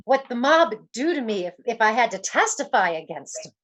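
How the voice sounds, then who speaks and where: Woman playing a lively, pleading gangster's moll. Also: a little high, a little fast. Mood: fearful, urgent. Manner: slangy, pleading. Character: frightened, pleading young gangster's moll on the run. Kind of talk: old-time radio detective drama acting.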